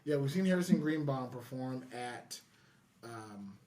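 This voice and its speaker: A man's voice: mid-range voice, animated, a little slow, a little mellow. Casual man hosting a podcast. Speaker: podcast host.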